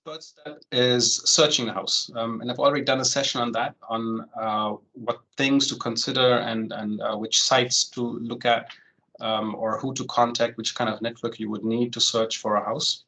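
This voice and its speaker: A man in his forties, raised in Germany, leads an online session as a home loan consultant. He walks through the steps at an easy pace. His talk is loose and full of "um"s, and he keeps checking in with "right?". His voice sits at an average pitch for a man. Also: moderate pace, rough recording. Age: 40s. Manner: relaxed, many "um" fillers and tag "right?".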